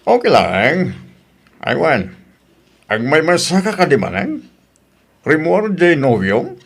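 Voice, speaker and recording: A man's voice: on the high side, wildly swooping pitch, slow, creaky, breathy edge, heavily acted. A man with an Ilocano accent playing an inquisitive, easygoing older landlord in an audio drama. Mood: curious, probing.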